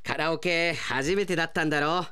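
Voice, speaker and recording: A man acting in a radio drama as a gruff, friendly izakaya owner with rough, colloquial speech and an encouraging, easygoing manner. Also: on the high side, a little fast, bright, forceful tone.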